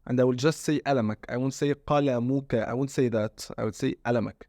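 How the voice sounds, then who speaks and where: Man, Egyptian accent, dialect tutor, average pitch, dry recording. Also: fairly even intonation, fast, a little mellow, no room sound. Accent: Egyptian Arabic. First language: Arabic. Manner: explains step by step, asks guiding questions. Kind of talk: casual language lesson.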